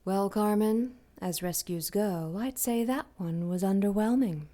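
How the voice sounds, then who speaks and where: A woman playing a dry, unimpressed character with wry, deadpan sarcasm in an audio drama. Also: mid-range voice, a little slow, close to an everyday voice. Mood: wry disdain.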